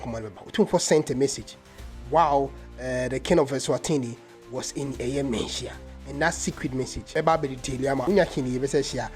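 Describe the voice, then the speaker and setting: A Ghanaian man giving impassioned commentary in a vlog, mixing English and Twi. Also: mid-range voice. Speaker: vlogger.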